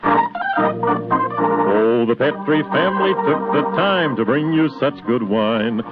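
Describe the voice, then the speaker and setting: A man's voice: a little high, wildly swooping pitch, slow pace, phone-quality sound. A man singing an advertising jingle in 1940s radio style. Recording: thin 1940s radio recording under loud organ. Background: Hammond organ music.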